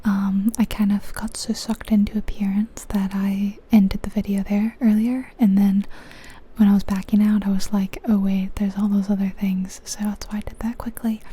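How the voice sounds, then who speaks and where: Softly whispering woman, very dark and hushed. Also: medium pitch, flat delivery. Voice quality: soft breathy whisper. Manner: gentle, unhurried, informal. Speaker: ASMR gaming video host. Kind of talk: whispered ASMR game commentary.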